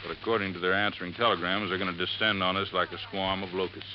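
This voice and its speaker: An actor playing a plain-spoken, folksy helper in a golden-age radio drama, informal and easygoing. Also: male voice, low voice, flat delivery, fast talker, natural voice. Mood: plain, easy. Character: folksy, plain-spoken man assisting an investigator. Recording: dull, noisy old radio recording.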